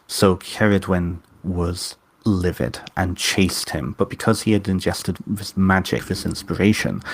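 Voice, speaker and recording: Low-voiced Welsh-tinged man retelling legend. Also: no echo. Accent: Welsh-tinged British. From Wales. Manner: enthusiastic, retells legend at length with restarts. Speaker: podcast guest, author and witch. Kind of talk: casual storytelling interview.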